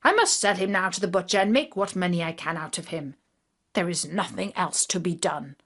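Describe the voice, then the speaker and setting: A woman's voice: fairly low pitch, a little fast, fairly bright tone, husky. A woman voicing a bad-tempered, heartless travelling showman in a fairy tale, curt and dismissive. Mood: grumpy, resolved.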